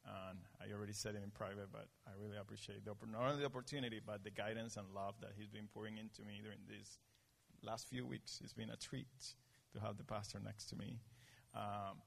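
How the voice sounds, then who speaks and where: A man from Venezuela with a Venezuelan Spanish accent, Spanish his first language, preaching a sermon in an earnest, halting way and often restarting his sentences. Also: lowish voice, gravelly. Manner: earnest, halting, frequent restarts, grows animated. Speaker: guest preacher. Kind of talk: sermon with personal story. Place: church meeting in a gym. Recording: long reverb of a gym.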